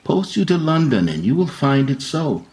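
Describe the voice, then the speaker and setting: A man playing a grave, philosophical gardener in a Shakespearean radio play, speaking sorrowful verse in a measured, solemn way. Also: fairly high pitch, very animated, darkish tone. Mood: apologetic, firm.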